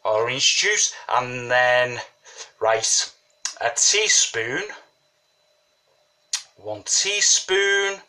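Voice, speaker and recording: A man's voice: mid-range voice, expressive, very slow, pauses often, sharp tone. A man with a cold, sniffling a little, talking casually and rambling as he explains a home brewing recipe at his kitchen table. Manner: chatty, rambling, says "you know what I mean". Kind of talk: casual how-to tutorial.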